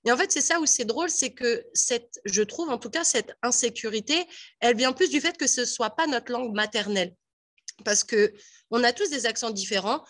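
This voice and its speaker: Higher-voiced woman with a Parisian accent. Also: normal speed. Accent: Parisian French. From France (Paris). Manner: lively, chatty, with frequent fillers. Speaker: radio panel guest. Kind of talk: casual radio discussion.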